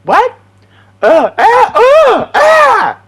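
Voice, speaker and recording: Manic cartoon alien villain: high, screechy, pompous, bombastic delivery. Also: male voice, wildly swooping pitch, very slow, fairly bright tone, exaggerated voice. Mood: grandiose, frantic.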